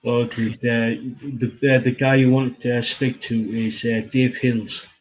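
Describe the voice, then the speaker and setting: A man, interviewing casually as host in a halting, repetitive way. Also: medium pitch, little pitch movement, low-quality recording. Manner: halting, repeats phrases, filler-heavy.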